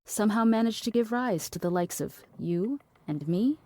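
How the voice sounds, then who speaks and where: A woman playing a cynical, blunt philosophiser in an audio drama, deadpan, wordy and dismissive. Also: mid-range voice, moderate pace, dry recording, close to an everyday voice. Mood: deadpan lecturing.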